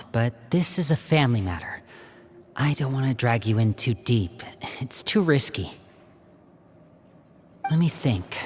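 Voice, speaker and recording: A playful young stage magician from a game, voiced by a man, leading his siblings with a smooth showman's charm. His pitch swings about lively as he talks, never flat. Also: slight background noise, close to an everyday voice. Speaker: older brother planning a rescue. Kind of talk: video game dub acting.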